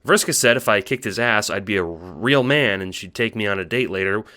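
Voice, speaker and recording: A timid young alien troll, played by a man, speaks in a bright, forward voice. He stammers and second-guesses himself as he goes, anxious to please, in the manner of an audio drama. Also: on the low side. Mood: hesitant, eager. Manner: halting, stammering, self-doubting. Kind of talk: audio drama monologue and dialogue.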